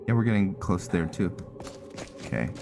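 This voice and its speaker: A man's voice: deep voice, big pitch swings, normal speed, creaky, over music. A man giving casual commentary on a roleplay video game, muttering to himself and often repeating his words. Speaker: gaming content creator.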